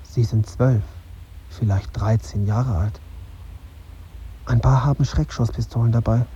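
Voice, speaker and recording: A man's voice: medium pitch, fairly even intonation, a little slow, warm tone, lightly acted. An actor playing a dazed, gloomy young journalist, narrating his thoughts tersely and broodingly in a radio drama. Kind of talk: radio drama interior monologue. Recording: dull sound, faint ambient noise.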